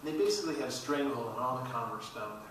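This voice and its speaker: Male documentary panelist, middle-aged, engaged and forceful, distant mic with heavy room echo. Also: medium pitch, very fast, a little hiss or hum. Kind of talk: explanatory panel talk. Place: large auditorium stage. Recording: very echoey hall from far off.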